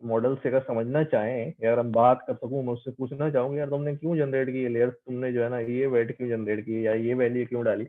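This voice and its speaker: A man's voice: medium pitch, little pitch movement, fast, few pauses, phone-quality sound. A man giving an explanatory lecture as a teacher, conversational, mixing Hindi-Urdu with English and checking understanding with questions.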